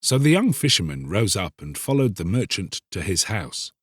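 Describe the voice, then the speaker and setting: A man narrating a literary tale as a scripted audiobook, solemn, deliberate and theatrical. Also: sits low, very animated, slightly rough, no room sound, clean studio sound.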